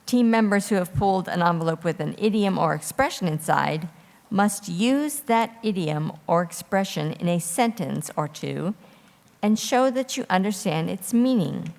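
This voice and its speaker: A woman moderating a formal quiz competition, giving clear, orderly instructions. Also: on the low side, expressive, moderate pace, darkish tone. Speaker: quiz host reading rules and judging calls. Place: large hall at a live competition. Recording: echoey hall with long reverb.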